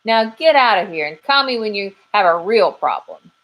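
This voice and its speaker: Woman playing a blunt, brisk chick detective. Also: medium pitch, animated, a bit bright, pitched above the performer's own voice, lightly acted, low-quality recording. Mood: exasperated. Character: tough, no-nonsense female chick detective.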